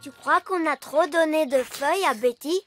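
A woman voicing a friendly, inquisitive young elf boy in an animated series. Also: sits high, normal speed, over loud music, natural voice. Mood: doubtful.

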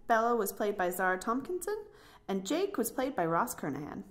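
A woman's voice: medium pitch, sing-song, fairly quick. A woman hosting a podcast, chatting casually and introducing pieces. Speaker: podcast host. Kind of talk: casual introductions and chat about short plays.

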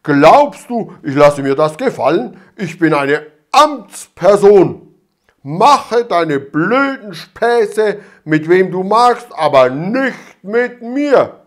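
A man's voice: on the high side, very animated, slow pace, full character voice. A man playing a blustering, pompous village policeman. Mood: furious. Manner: blustering, officious, shouting. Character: pompous, hot-tempered male village policeman.

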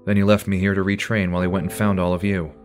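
A man's voice: deep voice, flat delivery, racing, soft background music, lightly acted. An actor playing an earnest, stern young male superhero team leader in an audio drama, serious and commanding. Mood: distressed, determined.

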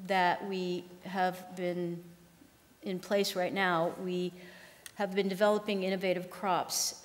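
A woman farm manager giving a conference keynote at a podium, speaking in a conversational way with frequent ums and restarts. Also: mid-range voice. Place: large lecture hall. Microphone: podium microphone. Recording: long hall reverb.

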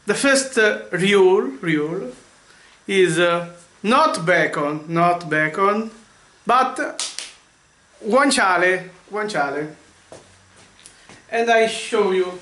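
Man, high voice, slow halting English, echoey home kitchen, faint noise. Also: sharp tone. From Vietnam. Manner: halting, searching for English words, many restarts. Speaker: cooking channel host. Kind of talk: casual cooking demonstration. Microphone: camera mic, often at arm's length or across the room.

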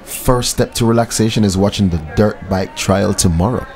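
A man's voice: on the low side, normal speed, darkish tone, natural voice. Affable Jamaican pilot, played by a man. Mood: friendly, informative. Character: affable young male airline pilot, humble and earnest. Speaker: actor in a radio drama. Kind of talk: scripted radio drama.

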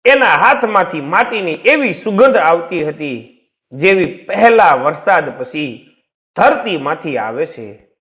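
A man narrating a scripted story in a dramatic, measured and suspenseful way. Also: fairly high pitch, big pitch swings, fairly bright tone.

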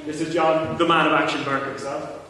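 A man's voice: on the high side, little pitch movement, a little fast. Irish man hosting in an echoey hall. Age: young adult. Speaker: panel host. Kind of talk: casual joking convention panel talk. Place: large hall at a panel table, far camera. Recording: very echoey noisy hall, far off.

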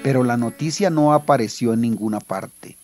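A man's voice: medium pitch, normal speed, a little mellow, faint music behind. A man with a Colombian accent, a writer reading his own literary short story aloud.

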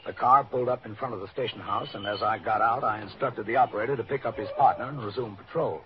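An actor playing a calm, methodical New York police captain in an old radio drama, speaking in a measured, formal way. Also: male voice, lowish voice, close to an everyday voice. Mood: matter-of-fact. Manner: measured, formal, matter-of-fact. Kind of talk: 1950s radio drama acting, procedural narration. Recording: muffled, noisy old radio recording.

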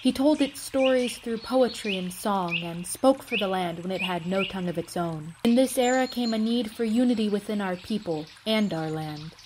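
A woman's voice: medium pitch, normal speed, few pauses. A woman giving scripted storytelling narration as a student narrator. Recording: faint noise with birdsong. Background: chirping birds.

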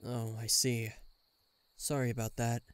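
A man's voice: mid-range voice, level tone, unhurried, smooth, no room sound, close to an everyday voice. A man playing a guarded government bodyguard in an intimate listener roleplay, dryly teasing and reluctantly tender. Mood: teasing, reserved. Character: gruff, guarded male government bodyguard, secretly fond.

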